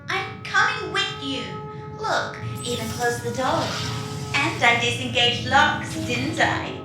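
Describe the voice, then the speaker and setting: A woman playing an excitable, naive, newly self-aware ship computer in a comedy audio drama, chirpy and gushing. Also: sits high, takes it slowly. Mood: excited, delighted. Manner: chirpy, gushing, wide-eyed. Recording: echoing voice with music behind.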